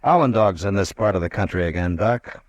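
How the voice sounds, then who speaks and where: Low, measured marshal played by a man, dry mic. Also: dry recording, close to an everyday voice. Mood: steady. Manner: measured, firm, low-key. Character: calm, steady frontier marshal. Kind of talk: 1950s radio drama acting.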